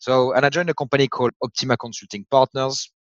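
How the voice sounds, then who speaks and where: A man's voice: medium pitch, fairly even intonation, dry recording, dull audio. A man from France with a French accent, telling his career story as a guest in a frank, chatty way over a video call. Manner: frank, chatty, slightly halting. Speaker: guest, company CEO. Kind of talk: casual interview storytelling.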